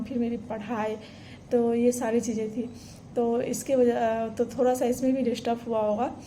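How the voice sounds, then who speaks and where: A woman's voice: a little high, dark tone, a little hiss or hum, poor audio. A woman, earnestly telling her own exam story to camera, full of fillers and restarts. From Bihar, India. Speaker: vlogger. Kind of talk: casual personal story to camera.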